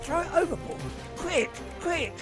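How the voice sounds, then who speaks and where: A man's voice: very high voice, expressive, a little slow, over music. An amateur actor playing an eager, deferential male sidekick in a radio drama. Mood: urgent.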